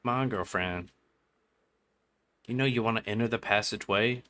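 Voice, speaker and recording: A man's voice: lowish voice, moderate pace, bright, forceful tone. A man doing casual live gameplay commentary as a streamer, muttering to himself and his chat in slangy, sweary language. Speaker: game livestreamer.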